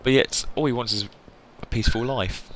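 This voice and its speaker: A man giving casual live game commentary to viewers, chatty and effusive, often pausing to search for words. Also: medium pitch, fairly even intonation, slight background noise, poor audio. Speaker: game streamer.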